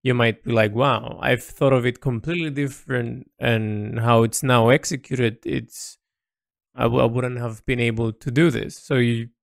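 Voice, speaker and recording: A man speaks with a noticeably gravelly, creaky voice at an average pitch, recorded very dry. He delivers a reflective artist's audio log without a script, hesitating and repeating phrases as he works out his thoughts. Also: steady tone, normal speed, no room sound. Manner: hesitant, often repeating words and phrases as he thinks aloud. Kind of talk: reflective unscripted monologue.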